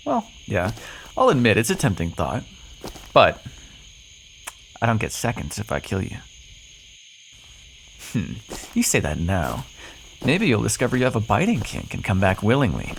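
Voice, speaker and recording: A man's voice: lowish voice, wildly swooping pitch, normal speed, creaky, pauses often, slight background noise, heavily acted, rough recording. A man playing a cocky, flirtatious, sardonic vampire in an ASMR roleplay, teasing the listener with pet names, sarcasm and swearing. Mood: smug, teasing.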